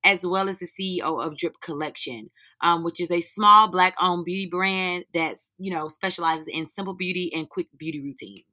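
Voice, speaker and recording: A young woman with a North Carolina accent, slightly hoarse and raspy, answering interview questions in a candid, informal way. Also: lowish voice, moderate pace, bright, forceful tone. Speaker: guest, teacher and business owner.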